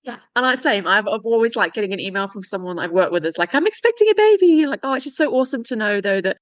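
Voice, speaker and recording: A woman's voice: mid-range voice, very animated, fast, dry recording. A woman hosting a podcast, chatting casually and laughing often. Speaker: podcast host.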